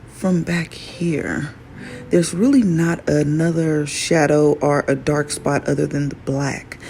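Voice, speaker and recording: A raspy woman vlogging casually about her own work, admiring it out loud and laughing often. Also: sits low. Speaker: fashion designer vlogger. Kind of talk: casual show-and-tell vlog. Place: workshop in Arizona. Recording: music playing behind the voice.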